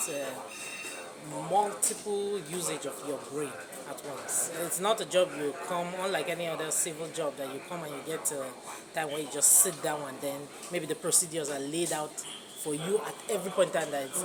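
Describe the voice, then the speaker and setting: A woman's voice: low-pitched, moderate pace. A woman with a Nigerian accent describes her job as an air traffic controller in an emphatic interview answer. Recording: clear chatter of other voices behind.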